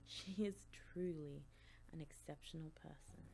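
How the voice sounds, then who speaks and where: Creaky, low-voiced stern female professor played by a woman. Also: dark tone. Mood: approving. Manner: measured, grave, critical. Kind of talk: audiobook drama acting.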